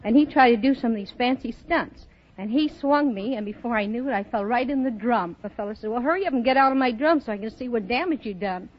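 A woman's voice: on the high side, fast. An American woman from Chicago, chatting and telling anecdotes as a contestant on an old radio quiz show. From Chicago, United States. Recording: muffled old radio recording, audience, background noise.

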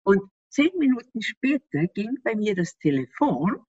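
A woman's voice: mid-range voice, sing-song, a little slow, a little mellow, husky, no echo. A woman from Austria with an Austrian accent, explaining things animatedly in an interview, laughing often and asking rhetorical questions. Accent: Austrian German. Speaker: interview guest. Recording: slightly dull dry sound.

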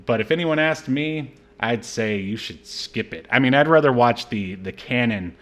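A man with a medium-pitched voice hosts a casual film review podcast. His delivery is conversational and a bit rambling, with hesitant phrasing as he thinks aloud. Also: moderate pace, a bit bright. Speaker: podcast host.

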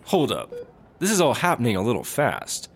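An actor plays a goofy, self-dramatizing man with a whiny, earnest manner. His pitch moves around a lot in melodramatic comedy audio drama style. Faint ambient noise sits behind him. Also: huge pitch swings, moderate pace. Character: self-dramatizing fat goofy man, convinced he's pregnant.

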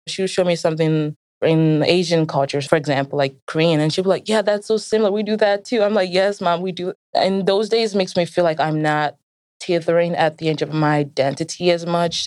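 Smooth-voiced young Nigerian American woman. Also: sits low, a little mellow, no room sound, clean studio sound. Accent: American with Nigerian traces. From Nigeria and the United States. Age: young adult. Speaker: interviewee. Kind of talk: reflective personal storytelling in an interview.

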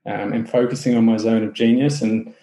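A man's voice: on the low side, brisk, roomy sound. A man with a New Zealand accent, a podcast guest chatting casually about his business, with plenty of fillers and restarts. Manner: relaxed, many fillers and restarts. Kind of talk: casual interview chat.

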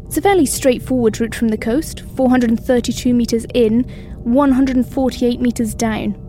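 A woman acting as a scientist astronaut with a fairly high, mellow voice. She is precise and gentle, in a sci-fi radio drama with faint music underneath. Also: moderate pace, lightly acted. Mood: calm, caring. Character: precise, caring London female scientist astronaut. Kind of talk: sci-fi audio drama dialogue.

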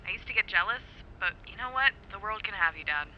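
Sarcastic young daughter on phone. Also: female voice, medium pitch, animated, quick pace. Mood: bitter, hurt. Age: young adult. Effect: telephone filter. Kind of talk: naturalistic audio drama acting. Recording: muffled phone line, faint noise.

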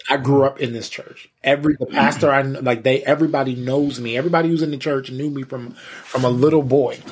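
A man with a husky voice, a pastor and interview guest, giving candid personal testimony in a halting, conversational way with repeated words. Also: medium pitch, fairly even intonation, crowd noise. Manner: halting, repeats words, frequent "you know".

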